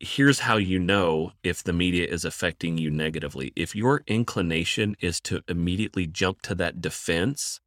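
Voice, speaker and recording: A very low-pitched man speaks on a dry, close microphone. He is a counselor giving careful, thoughtful answers as a podcast guest, often doubling a word as he works out a point. He sounds middle-aged. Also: fairly bright tone, no room sound. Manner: measured, thoughtful, repeats words while thinking.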